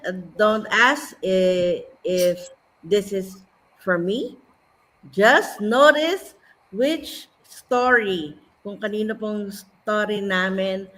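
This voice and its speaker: A woman's voice: medium pitch, fairly bright tone. A middle-aged woman with a Filipino accent hosting a live stream, polite and welcoming. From Philippines. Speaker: host and business mentor.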